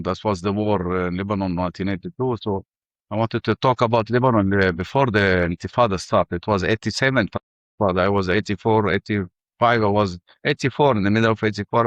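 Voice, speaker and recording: An older man with an Arabic accent telling his life story in halting English full of restarts. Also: lowish voice, no room sound. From Ramallah. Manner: halting, many restarts and fillers. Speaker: interview guest. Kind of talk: personal testimony.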